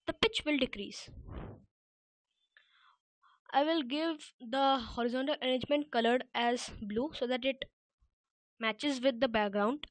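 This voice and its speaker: A woman with a high-pitched voice walks through a screen tutorial step by step. She speaks off the cuff, haltingly, often correcting herself. The voice-over sounds dry, with very little room sound. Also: dry recording, poor audio. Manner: halting, self-correcting delivery. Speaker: app-building tutorial presenter.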